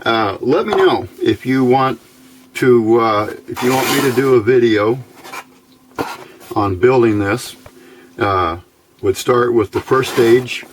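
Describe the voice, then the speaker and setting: A man giving a casual hands-on electronics tutorial, halting and thinking aloud as he goes. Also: medium pitch, noisy background. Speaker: electronics hobbyist video host.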